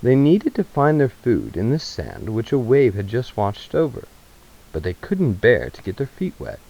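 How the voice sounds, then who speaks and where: A man's voice: mid-range voice, huge pitch swings, moderate pace, creaky, mellow, lo-fi sound. A man reading a story aloud as narrator, in a dramatic literary style. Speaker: narrator, reader.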